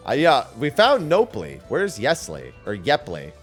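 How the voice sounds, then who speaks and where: Lively male game streamer, casual commentary. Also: mid-range voice, unhurried, faint music behind.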